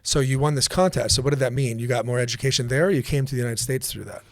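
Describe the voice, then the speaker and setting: A man's voice: mid-range voice, rapid-fire, gravelly, dry recording. A man hosting a podcast interview, asking questions in an upbeat, friendly way. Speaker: podcast host.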